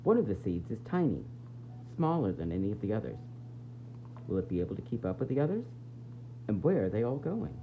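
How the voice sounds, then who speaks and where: A man reading a picture book aloud as a preschool teacher, expressive and explaining things directly to young children. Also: fairly low pitch, a little fast, pauses often. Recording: tinny phone-like audio with faint noise.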